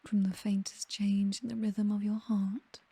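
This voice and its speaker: A woman plays an aristocratic British vampire mistress, seductive, condescending and possessive. Her voice is extremely mellow and breathy, close to a whisper, and she takes her time with every phrase. It is an intimate, dry ASMR roleplay delivery with a languid, teasing air. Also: mid-range voice, flat delivery, a little slow, dry recording. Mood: predatory, seductive.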